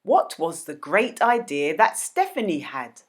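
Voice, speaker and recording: A woman with a fairly low voice hosts a quiz for children. She speaks slowly and deliberately, with very lively swings in pitch, over a faintly noisy background. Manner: deliberate, expressive, addressing children. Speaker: host of children's read-aloud videos.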